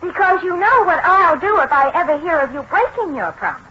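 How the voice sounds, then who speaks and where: Bossy, high-voiced wisecracking young woman. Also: animated, sharp tone, full character voice. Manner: brisk, commanding, slangy. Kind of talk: 1940s radio acting. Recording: noisy, muffled 1940s radio recording.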